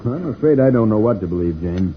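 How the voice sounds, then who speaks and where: An actor playing a calm, seasoned, fatherly spaceship commander who explains things reassuringly in an old-time radio serial. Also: on the low side, fast talker, natural voice. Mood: calm, measured. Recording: tinny, noisy old radio recording.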